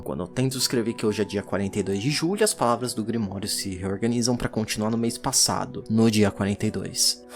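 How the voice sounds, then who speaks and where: A performer playing a curious, uneasy 19th-century occult explorer who narrates a journal in a reflective, theatrical way, with deliberate pauses, for an audio drama. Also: medium pitch, close to an everyday voice. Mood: pensive, intrigued.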